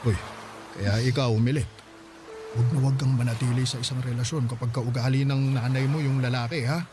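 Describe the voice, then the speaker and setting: A man with a Filipino accent acting a hardworking, reassuring 45-year-old father in a radio drama, gentle and earnest. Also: mid-range voice, fairly even intonation, fairly quick, over music, lightly acted. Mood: earnest, advising. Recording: clear background ambience noise.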